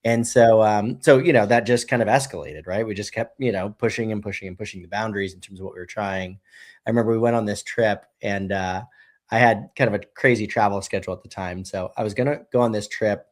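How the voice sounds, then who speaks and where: A man in his thirties speaks with a fairly low voice in an easygoing, meandering way. He keeps leaning on "you know" and "right?" as he tells his story to a podcast host. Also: fairly even intonation, fast talker. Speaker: guest, brewery co-founder. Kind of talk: casual conversational storytelling.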